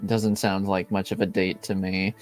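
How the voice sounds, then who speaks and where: A man playing a reserved, wary Templar in improvised tabletop roleplay, speaking in an understated, deadpan way. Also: sits low, moderate pace, soft background music, lightly acted. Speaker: game master.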